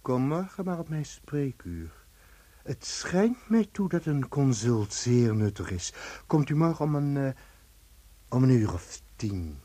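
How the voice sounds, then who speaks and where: A sinister official from a radio drama, courteous and condescending as a father to a child. He speaks slowly in a husky voice that rises and falls widely. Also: mid-range voice, very animated, dark tone, no room sound. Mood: paternal, menacing. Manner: condescending, polite, deliberate. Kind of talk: Dutch radio play acting.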